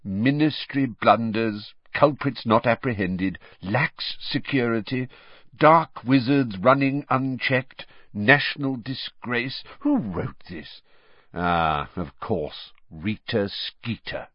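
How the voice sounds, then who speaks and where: A man reading an audiobook, voicing a kind, tired middle-aged father who speaks quietly and heavily. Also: medium pitch, slow, no echo. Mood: weary. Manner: quiet, soothing, heavy. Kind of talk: audiobook character voice. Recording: dull dry lo-fi recording.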